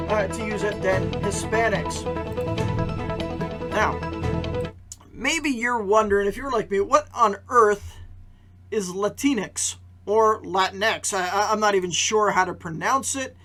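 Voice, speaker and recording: A man with a notably high-pitched voice hosts a commentary podcast. He works through news in a conversational way, putting questions to the listener and repeating key phrases for emphasis. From Canada. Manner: rhetorical questions and emphatic repetition. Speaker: podcast host.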